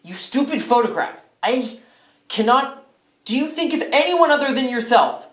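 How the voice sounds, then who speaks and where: A man's voice: on the high side, expressive, normal speed. A man acting in a comedy skit as a self-centred, whiny, melodramatic character, exasperated and prone to outbursts, in a roomy space. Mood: indignant. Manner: exasperated, mock-earnest, bursts into shouting. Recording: roomy, echoing indoor sound.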